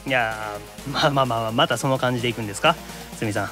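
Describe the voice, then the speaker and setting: A man with a Kansai accent hosting lively radio banter, answering with teasing straight-man retorts. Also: medium pitch, quick pace. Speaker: host, voice actor. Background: background music.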